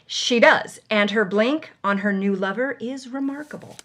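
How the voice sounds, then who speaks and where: A woman telling a scripted story as a podcast storyteller, in an animated way. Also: a little high, normal speed.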